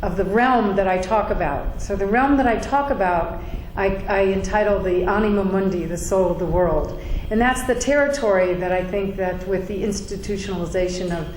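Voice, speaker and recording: Woman guest speaker at a fellowship meeting: loud, halting, filler-heavy, recorded in a noisy, echoing room. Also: medium pitch. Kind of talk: informal spiritual talk. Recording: echoey room with background noise.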